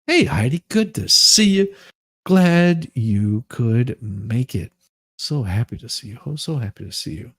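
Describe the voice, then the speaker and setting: A man giving a mock dramatic reading with crude comic asides, in a halting, folksy, stammering delivery. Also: medium pitch, animated. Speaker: livestream host.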